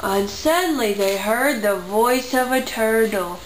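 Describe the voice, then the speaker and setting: A woman's voice: on the high side, a little slow. A woman narrating a simple puppet-show story for children at home. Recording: echoey room, faint background noise.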